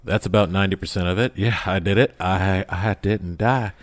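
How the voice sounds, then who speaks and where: A man's voice: low-pitched, steady tone, a little fast, no echo. A man chatting casually as a podcast guest, with a jokey, self-deprecating manner. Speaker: podcast guest, audio drama creator. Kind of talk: animated casual podcast chat.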